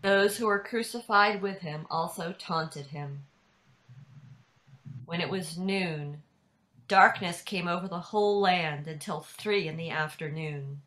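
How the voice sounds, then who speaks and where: Female scripture narrator. Bright, forceful voice, measured and unhurried delivery, home video-call sound. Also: lowish voice, low-quality recording. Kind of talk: dramatic scripture reading.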